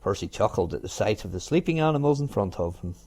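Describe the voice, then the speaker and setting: A man reading a children's storybook aloud in an expressive narrating style. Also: fairly low pitch, quick pace. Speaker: storybook reader.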